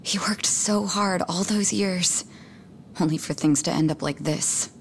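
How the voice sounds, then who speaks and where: A woman's voice: on the low side, unhurried, a little hiss or hum. An actress playing a blunt, wounded young woman in a video game, speaking frankly and with emotion. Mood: regretful. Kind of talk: English game voice acting.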